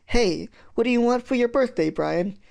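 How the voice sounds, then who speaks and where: A man's voice: high-pitched, expressive, a little fast, gravelly, mellow, pitched above the performer's own voice. A man voicing a cheeky, pestering little boy who turns sheepish in a children's story. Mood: cheeky, teasing. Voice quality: weedy little voice when scared.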